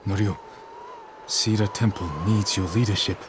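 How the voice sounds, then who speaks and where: A man playing a calm, principled samurai lord in a game drama, speaking with restrained, earnest concern. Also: lowish voice, normal speed, close to an everyday voice. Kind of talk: dramatic video game cutscene acting. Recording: clear background ambience noise.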